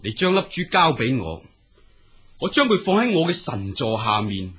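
A man's voice: mid-range voice, very animated, normal speed, a bit bright, slightly rough. A man with a Cantonese accent playing a greedy, bullying river god in an audio drama, imperious, scornful and commanding. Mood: haughty, scornful.

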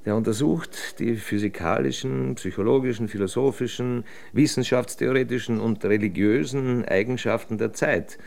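Wry, creaky-voiced male investigator played by an actor. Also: medium pitch, normal speed, few pauses, a little mellow, natural voice. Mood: smug, explaining. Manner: ironic, lecturing, pompous. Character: wry, pedantic male criminal investigator, smugly theorizing. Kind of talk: German radio drama dialogue.

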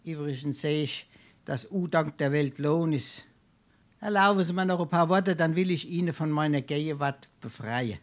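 A man's voice: on the high side, normal speed, close to an everyday voice. A man playing a wily, shameless scrounger in a Darmstadt dialect stage comedy, pompous and full of flowery courtesy. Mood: wounded dignity. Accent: Darmstadt Hessian. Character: wily, shameless male scrounger and bon vivant.

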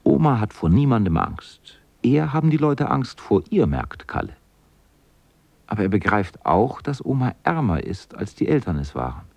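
An actor narrating a children's radio play as a plain-spoken storyteller with a husky voice. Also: male voice, on the low side, very animated, normal speed, darkish tone, dry recording, full character voice. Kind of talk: scripted narration in a radio drama.

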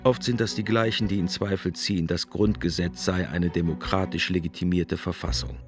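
Male narrator, low register, scripted radio documentary delivery. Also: fairly even intonation, normal speed, over music.

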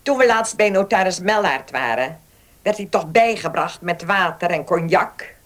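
An actress in a Dutch radio play playing a haughty, sharp-tongued older lady of the manor. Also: lowish voice, lively intonation, moderate pace. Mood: brisk, grumbling. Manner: bossy, snappish.

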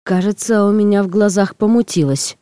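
A woman reading first-person comic fiction aloud as an audiobook narrator, in an expressive, theatrical way. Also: mid-range voice, a little fast, dry recording, studio quality.

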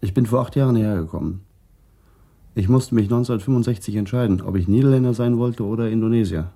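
A reflective middle-aged policeman in a radio crime play. His voice is mellow and husky with some creak, and he talks in a measured, guarded manner. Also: fairly low pitch. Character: calm, reflective Papuan-Dutch traffic policeman being questioned. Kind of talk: German radio crime drama acting.

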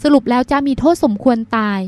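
A woman's voice: quick pace, darkish tone, no room sound, lightly acted. A woman reading the part of a stubborn, teasing young woman, a petulant younger sister, in an audiobook.

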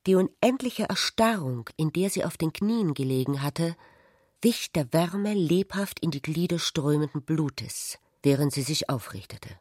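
An actress narrating a literary radio drama as a troubled, wounded woman, measured and introspective. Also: low voice, lively intonation, darkish tone, dry recording, clean studio sound. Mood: pensive.

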